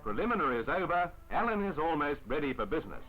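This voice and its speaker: A man narrating a 1950s newsreel in a formal, measured announcer style on an old film soundtrack. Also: fairly high pitch, big pitch swings, narrow, tinny audio. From Australia. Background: music.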